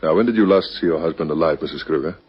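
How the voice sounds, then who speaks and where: An actor playing a calm, probing police captain asking terse, formal questions in a radio drama. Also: male voice, extremely low pitch, rapid-fire. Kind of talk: vintage radio drama acting. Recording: dull old radio recording.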